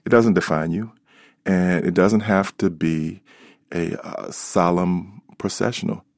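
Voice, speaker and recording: A middle-aged American man from Alabama reflecting in an interview as a doctor, speaking in a measured, articulate way. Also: deep voice, fairly even intonation, darkish tone, dry recording, studio quality. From Alabama, United States.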